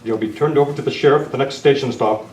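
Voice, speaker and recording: Echoing and a little distant, a man plays a calm masked cowboy hero with a mid-pitched voice. He is courteous and decisive, in old radio western style. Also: quick pace, a little hiss or hum, close to an everyday voice. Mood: commanding. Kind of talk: amateur old-time radio western re-enactment. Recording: echoey meeting room, distant mic.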